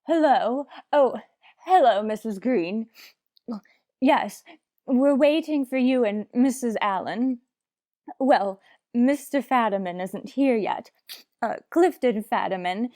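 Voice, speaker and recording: An actress playing a haughty, fussy society matron with affected, melodramatic upper-class diction in old-time radio comedy. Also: female voice, fairly high pitch, animated, slow pace, a little mellow, no echo, clean studio sound. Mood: fussy, chatty. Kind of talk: 1940s radio comedy acting.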